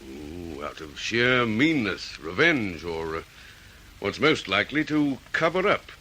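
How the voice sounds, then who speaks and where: A man's voice: fairly low pitch, sing-song, unhurried, bright, forceful tone, heavily acted. A man playing a laconic, inquisitive senior detective in an old radio drama, musing and asking probing questions. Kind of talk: 1940s radio drama acting. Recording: vintage radio recording, faint noise.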